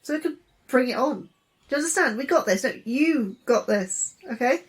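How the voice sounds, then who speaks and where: A woman's voice: sits high, animated, moderate pace. A woman playing an anxious but encouraging scientist, informal and wisecracking, in a sci-fi horror audio drama. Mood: rallying. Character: anxious, encouraging female scientist, plucky and self-deprecating.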